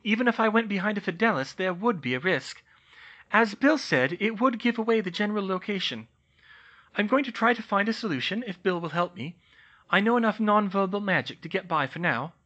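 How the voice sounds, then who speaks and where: A man's voice: high-pitched, animated, a little fast, pushed and bright, dull audio, voice pushed up, full character voice. A man reading aloud voices a clever, anxious young witch in a storybook. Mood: composed.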